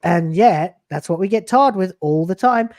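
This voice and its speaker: A man's voice: high voice, expressive, moderate pace, darkish tone, dry recording, studio quality. Blunt, profane man in his late thirties. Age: late 30s. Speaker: YouTuber guest. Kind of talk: casual podcast chat.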